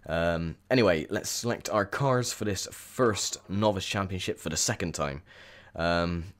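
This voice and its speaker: A young man with a croaky, sore voice, chatting casually through gameplay commentary with lots of ums. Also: low-pitched, a little slow, a bit bright. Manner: chatty, rambling with many ums. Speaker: gaming video host.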